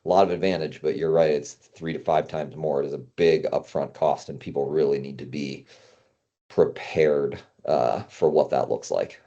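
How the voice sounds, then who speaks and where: Male guest consultant, very low and mellow voice, conversational explainer, slightly dull recording. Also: fairly even intonation, moderate pace. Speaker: guest consultant on climbing gym business. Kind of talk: casual business discussion.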